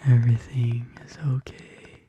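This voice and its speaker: An ASMR host whispering softly and casually in a gentle, reassuring manner, close to the microphone. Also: mid-range voice, completely flat delivery, a little slow, dull audio, background noise. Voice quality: breathy whisper. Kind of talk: soft-spoken whispered ASMR. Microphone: close studio microphone.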